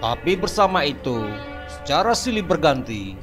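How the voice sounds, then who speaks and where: Steady male narrator, over clear background music. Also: fairly high pitch, normal speed. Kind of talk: storytelling narration.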